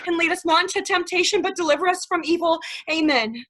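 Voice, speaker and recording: A woman acts out a panicked, devout female accomplice wracked with guilt. Her voice is very high. She speaks with agitated emphasis, in the manner of stage drama. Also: quick pace, fairly bright tone, a little hiss or hum. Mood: desperate praying. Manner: agitated, emphatic, repeating herself. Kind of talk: dramatic stage play reading.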